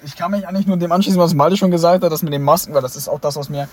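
Quick teen co-host, higher voice, giggly slang. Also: male voice, steady tone. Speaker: podcast co-host. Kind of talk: casual chat.

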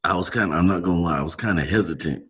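A man's voice: low-pitched, racing, fairly bright tone, breathy edge. A man interviewing a guest in casual chat, rambling and searching for words with many fillers. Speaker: podcast interviewer.

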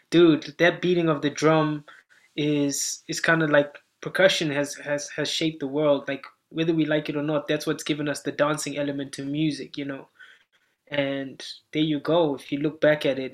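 A man's voice: on the high side, level tone, normal speed. A man from Zimbabwe, a musician guest on a podcast, talking in an easygoing, friendly way and laughing often. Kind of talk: casual interview chat.

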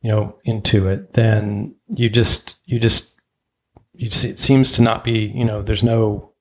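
A man's voice: lowish voice, level tone, measured pace, a little mellow, slight background noise, low-quality recording. A man born in Germany, a native German speaker, interviewing a guest and often rambling and restarting his sentences. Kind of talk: casual interview conversation.

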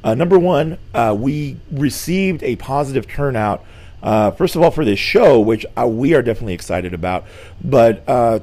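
A slightly hoarse middle-aged man hosting a weekly church show, giving an earnest, informal Bible lesson with many fillers and restarts. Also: mid-range voice, mellow. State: slightly hoarse, losing his voice.

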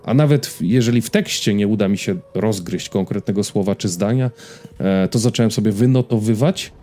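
A man's voice: lowish voice, a little fast, warm tone. A man in his thirties chatting casually as a podcast host, rambling and hesitant, often repeating words as he goes. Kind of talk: casual chat about personal habits and series.

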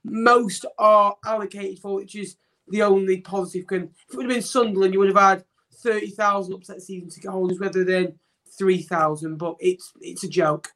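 A man's voice: sits high, fairly even intonation, moderate pace, bright, forceful tone. A man co-presenting a football podcast, blunt and opinionated. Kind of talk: casual football chat.

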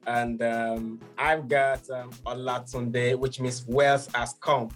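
A man's voice: mid-range voice, flat delivery, takes it slowly, soft background music. A man chatting casually as a show guest, explaining things a little hesitantly. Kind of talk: casual chat.

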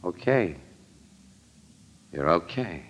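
An actor playing an easygoing, slangy American crime photographer with a wry, breezy manner in an old radio drama. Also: male voice, low voice, animated, very slow, fairly bright tone, heavily acted. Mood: wry, casual.

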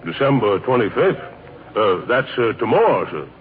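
An actor playing a deferential, good-natured railroad porter with folksy, halting talk in an old radio drama. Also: male voice, fairly low pitch, very animated. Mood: concerned. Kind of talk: 1940s radio drama acting. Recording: tinny old radio, loud station crowd.

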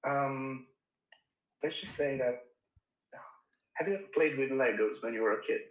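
A man's voice: medium pitch, moderate pace, echoey room, lo-fi sound. A young man from Romania in his twenties, an engineer answering interview questions in a thoughtful, hesitant way with many fillers and restarts. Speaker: engineer guest.

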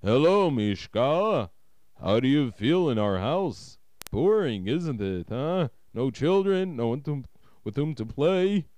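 A man voicing a gruff Russian uncle in a told story, blunt and quick to turn from jovial to scolding. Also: medium pitch, big pitch swings, a little mellow, pitched above the performer's own voice. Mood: jovial, friendly. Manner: blunt, scolding, rhetorical questions.

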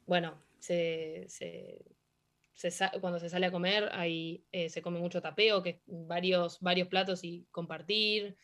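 Young Argentine woman interviewee, slightly low voice, halting delivery with lots of "em" and restarts. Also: steady tone, a bit bright. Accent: Argentine Spanish. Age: young adult. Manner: hesitant, many fillers and restarts. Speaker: interviewee, hockey player. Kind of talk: casual interview answers.

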